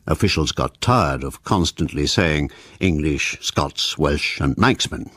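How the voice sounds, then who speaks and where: Deep husky male documentary narrator. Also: expressive, takes it slowly, a bit bright, no echo, studio quality.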